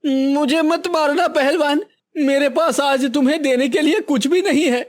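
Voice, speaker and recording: A man's voice: extremely high pitch, a little slow, pitched above the performer's own voice. A man voicing a poor, frightened fisherman who speaks through tears in a Hindi cartoon. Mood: terrified. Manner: tearful, pleading.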